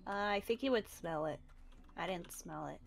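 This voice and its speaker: A woman's voice: lowish voice, normal speed, vocal fry. A woman playing a carefree, sneaky young survivor in an improvised game roleplay, speaking in a teasing way. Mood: casual. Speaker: roleplay player.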